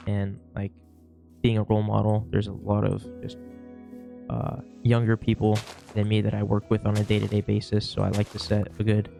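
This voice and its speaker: A sick young man with a worn, gravelly voice, rambling casually through a vlog with lots of fillers and restarts. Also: lowish voice, warm tone. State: sick, losing his voice. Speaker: vlogger; shift manager at work. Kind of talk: casual talking-to-camera vlog.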